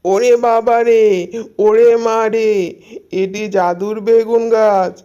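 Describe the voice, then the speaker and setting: Mellow tone, greedy tigress auntie, bullying neighbour, children's cartoon, acted by a woman. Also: on the high side, fairly even intonation, slow, clean tone, muffled recording. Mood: panicked pleading.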